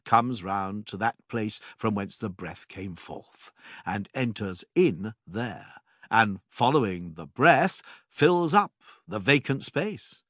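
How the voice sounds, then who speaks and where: Man, audiobook narrator, classical philosophy reading, animated intonation, deliberate phrasing, emphatic pauses, very dry studio sound. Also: on the low side, no room sound.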